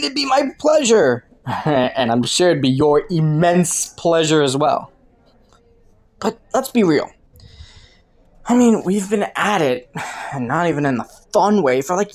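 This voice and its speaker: A woman's voice: low voice, heavily acted. A woman playing a flirty, taunting underworld criminal in a roleplay audio, with a playful, teasing drawl. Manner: playful, teasing drawl with frequent soft chuckles.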